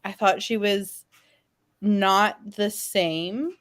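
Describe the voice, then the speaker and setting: A woman's voice: medium pitch, extremely slow, a bit bright, clean tone. A woman chatting casually as a panelist in a fan discussion.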